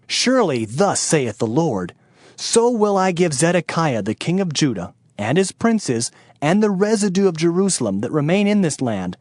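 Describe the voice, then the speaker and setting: A man's voice: on the high side, a little mellow, no echo, clean studio sound. A man playing a solemn Hebrew prophet in a dramatized scripture reading, declamatory and emphatic.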